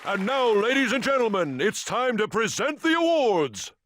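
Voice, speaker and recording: An actor playing a grandiose tournament announcer who proclaims a crowning ceremony in a booming, theatrical manner. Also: male voice, very animated, moderate pace, a bit bright, some chatter behind. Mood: proclaiming.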